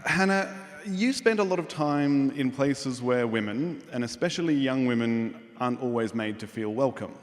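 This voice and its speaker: A man with a mid-pitched voice moderates a panel and asks each guest questions in an encouraging way. His voice echoes through a large hall. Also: expressive, moderate pace, hardly any pauses. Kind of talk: hosting a panel. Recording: hall reverb.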